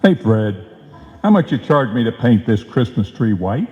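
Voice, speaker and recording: A man's voice: medium pitch, big pitch swings, moderate pace, warm tone, close to an everyday voice. A man playing a cranky, penny-pinching schemer husband in old-time radio comedy, sputtering and grumbling with indignation. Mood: indignant, haggling. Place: live performance on a stage in a hall. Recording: muffled live stage recording, echoey hall.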